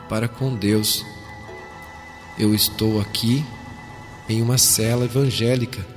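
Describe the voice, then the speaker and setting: A man reading a letter aloud on a radio program, slow, solemn and heartfelt. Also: mid-range voice. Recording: long reverb with faint music.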